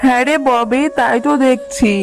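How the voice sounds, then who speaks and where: A selfish, scheming tigress aunt from a children's cartoon, acted by a woman. The voice is high, mocking and brusque, quick to scold and dismiss. Music is clearly heard behind it. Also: steady tone.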